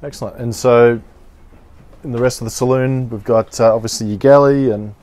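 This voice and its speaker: A man's voice: mid-range voice, fairly even intonation, normal speed, a little mellow. A man in his thirties interviewing a boat owner on board, asking short prompting questions and affirming the answers. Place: inside a catamaran saloon, docked.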